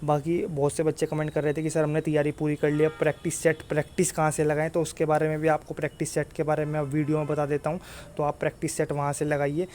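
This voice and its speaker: Higher-pitched man, flat delivery. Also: fast talker, a little hiss or hum. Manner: repetitive, insistent, often tags phrases with "okay". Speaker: exam-news YouTuber and coach. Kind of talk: informal exam update talk to students.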